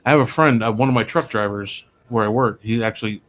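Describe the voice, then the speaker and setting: A man's voice: lowish voice, fast talker, a bit bright, a little hiss or hum. Rambling man hosting a studio show. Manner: rambling, many fillers and restarts. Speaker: podcast host. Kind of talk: casual chat. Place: small studio. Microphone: studio microphone.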